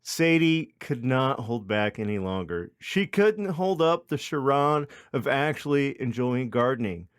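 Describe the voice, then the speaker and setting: A man's voice: medium pitch, expressive, unhurried, few pauses, clean tone, dry recording, studio quality. A man hosting a podcast, reading a comic story in a mock-dramatic narrating style. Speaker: podcast host.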